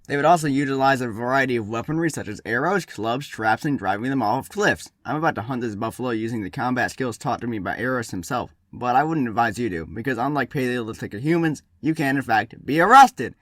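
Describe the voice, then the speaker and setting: Male comedic history host, mid-pitched, scripted explainer delivery with wry asides, recorded very dry. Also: animated, fast, hardly any pauses, a bit bright, no echo. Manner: wry, tongue-in-cheek asides. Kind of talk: scripted narration, humorous explainer.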